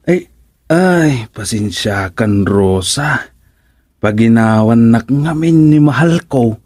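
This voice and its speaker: A man's voice: mid-range voice, expressive, slow, a little mellow, no room sound, studio quality. A Filipino man playing a flustered, henpecked village councilman in a radio comedy, stammering and making excuses. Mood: whining, flustered. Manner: stammering, hesitant, exclamatory. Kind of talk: comedic radio drama acting.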